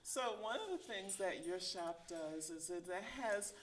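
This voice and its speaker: A woman hosting a community interview program, introducing her guest and asking questions in a measured style. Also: fairly low pitch, lively intonation. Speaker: interview host.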